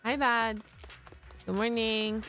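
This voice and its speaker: A woman streaming casual live reactions to a game, chatty, expressive and slangy. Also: on the high side, slow.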